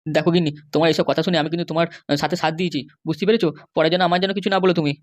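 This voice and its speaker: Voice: mid-range voice, steady tone, rapid-fire, close to an everyday voice. A performer voicing a compliant but grumbling, worried Bengali husband and father in a comedy cartoon, with a placating, hesitant manner. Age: middle-aged. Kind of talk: animated comedy cartoon dialogue.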